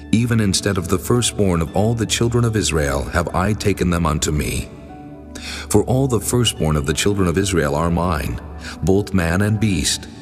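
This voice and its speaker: Casting: male actor for the Almighty, low solemn voice, commanding and measured, long reverb, scripture audio drama over music. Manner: stately, measured, authoritative pronouncements.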